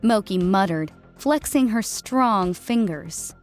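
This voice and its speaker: Female audiobook narrator, mid-pitched, scripted fiction read over faint background music. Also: lively intonation, slow pace.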